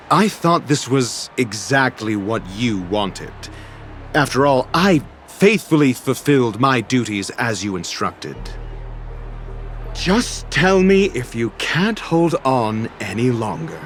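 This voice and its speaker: A man voicing a haughty, sardonic scholar in a video game, speaking with formal diction and dry, measured condescension. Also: medium pitch, lively intonation. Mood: deliberate, cutting. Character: haughty, sardonic male scholar, coolly exasperated and erudite. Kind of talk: video game cutscene voice acting. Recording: game dialogue over background music. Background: background music.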